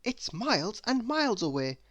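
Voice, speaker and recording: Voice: medium pitch, very animated, moderate pace, fairly bright tone, no echo, pitched above the performer's own voice. A reader voicing a small, fed-up, sneezing male character in a children's storybook, in a plaintive manner. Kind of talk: storybook read-aloud character voice.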